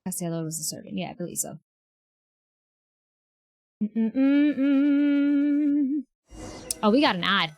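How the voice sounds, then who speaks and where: A woman's voice: high-pitched, expressive, slow, pauses often, a little mellow, no echo. A woman streaming a game, chatting casually and expressively with viewers in an informal, slangy way. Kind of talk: casual livestream reaction chat.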